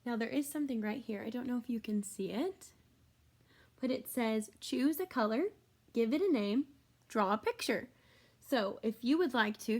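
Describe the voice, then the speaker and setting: A woman's voice: a little high, darkish tone. A woman schoolteacher reading a picture book aloud to children, expressively, with chatty explanatory asides to her young listeners.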